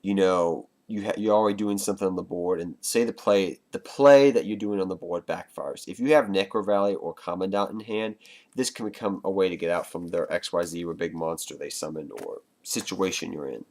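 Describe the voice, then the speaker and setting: Male vlogger, very low pitch, stuffy nose from a cold, chatty and loose delivery to viewers. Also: brisk, a little mellow. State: congested with a cold. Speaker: card game vlogger. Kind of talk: casual deck explanation to viewers.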